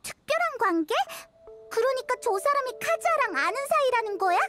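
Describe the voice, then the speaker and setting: Tiny, squeaky, chatty game fairy. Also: female voice, a little fast. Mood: curious, chatty. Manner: exclamatory, expressive. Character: tiny chatty childlike fairy companion, cheeky and indignant. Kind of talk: video game voice acting. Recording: loud music over the voice.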